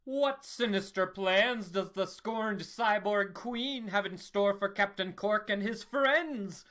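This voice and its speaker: A woman's voice: medium pitch, takes it slowly, fairly bright tone, soft background music. A woman narrating a comic sci-fi serial in a theatrical, suspenseful announcer style. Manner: dramatic, suspenseful announcer delivery.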